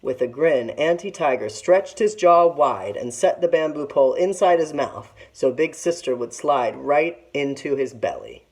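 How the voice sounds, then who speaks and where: A woman teacher reading a children's story aloud in an expressive narrating style. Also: sits low, a little slow, few pauses.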